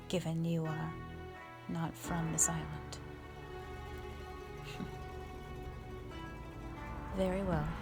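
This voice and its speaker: Woman voicing a slow, stately ancient dragon warrior. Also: fairly low pitch. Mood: calm, indulgent. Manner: formal, measured, stately. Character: calm, stern, ancient female dragon warrior, proud guardian. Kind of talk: scripted roleplay audio drama monologue.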